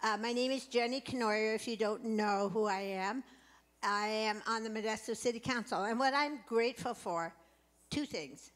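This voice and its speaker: A woman on the city council greets the room and says a few words. Her voice sits fairly high, and she is friendly with a dry touch of humor. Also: moderate pace, fairly bright tone. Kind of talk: short public remarks.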